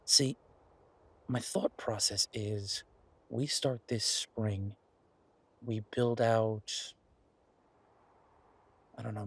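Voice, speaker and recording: Man acting as a husky, easygoing practical boyfriend. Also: lowish voice, no room sound. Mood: earnest, persuasive. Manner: casual, thoughtful, explanatory, halting pauses. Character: male werewolf boyfriend, practical restaurateur pitching a farm plan. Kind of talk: roleplay audio drama, naturalistic one-sided conversation.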